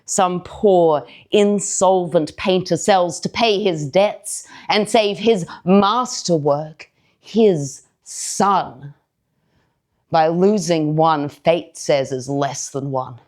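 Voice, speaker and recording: A woman playing a brooding, strong-willed young male villain in a deliberate, declamatory verse monologue on stage. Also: lowish voice, a little mellow. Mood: bitter, brooding. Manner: declamatory, deliberate, weighty pauses. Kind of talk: theatrical Shakespearean verse monologue.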